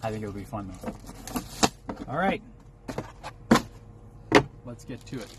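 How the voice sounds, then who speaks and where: A man's voice: fairly low pitch, lively intonation, slow pace. A man talking casually as a trucker vlogger, explaining things in a folksy, rambling, step-by-step way. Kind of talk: casual unboxing vlog chat. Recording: clear background noise, vehicle ambience.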